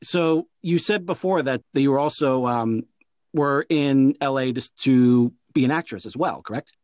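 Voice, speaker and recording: A man's voice: mid-range voice, moderate pace, darkish tone, no room sound. Male host, casual and rambling, restarts phrases, dry close recording. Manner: rambling, repeats words while thinking. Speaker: interview host and producer. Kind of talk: casual interview conversation.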